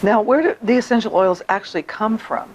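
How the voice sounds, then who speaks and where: A woman hosting an interview show, hoarse today, chatty and quick to laugh. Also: a little high, a little hiss or hum. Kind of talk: casual interview.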